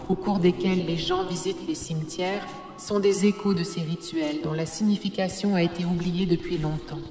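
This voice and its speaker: Woman acting solemn female ritual guide, cartoon, measured teaching tone, mellow, echoey, music underneath. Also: fairly low pitch, natural voice. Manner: measured, didactic. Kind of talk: solemn instructive dubbed cartoon dialogue. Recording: echoey reverberant hall, music behind.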